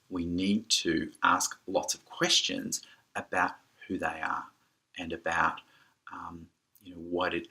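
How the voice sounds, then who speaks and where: Man, very low pitch, bright forceful tone, earnest, emphatic, informal business coaching talk. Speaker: photography business educator and coach.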